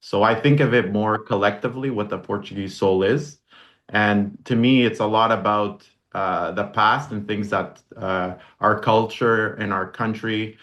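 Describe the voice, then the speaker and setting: A man's voice: on the low side, steady tone, measured pace, a bit bright. A man in his forties, born in Canada, chatting casually as a podcast guest, rambling with fillers and restarts. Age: 40s. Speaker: podcast guest, brand founder. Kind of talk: casual interview chat.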